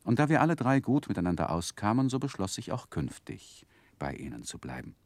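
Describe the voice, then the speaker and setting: An actor playing an earnest, reflective gentleman who recounts his boyhood as narrator of a 1960s German radio drama, with careful, tender phrasing. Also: lowish voice, very animated, fairly quick, gravelly, no echo, exaggerated voice.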